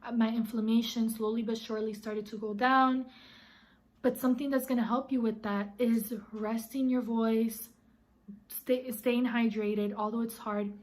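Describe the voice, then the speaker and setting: A woman vlogger with a slightly raspy, hoarse voice as she recovers from illness, talking in an earnest, encouraging way and addressing her viewers directly. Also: a little high, level tone, normal speed, a little mellow, low-quality recording. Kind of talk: casual advice vlog.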